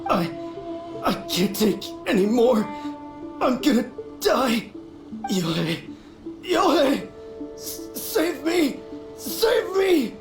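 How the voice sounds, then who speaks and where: A man playing a delirious possessed man in a video game, creaky and melodramatic, speaking haltingly. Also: extremely high pitch, very animated, heavily acted. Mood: weak, pleading. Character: delirious possessed man, hammy, cackling then pleading. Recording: roomy echo under loud music.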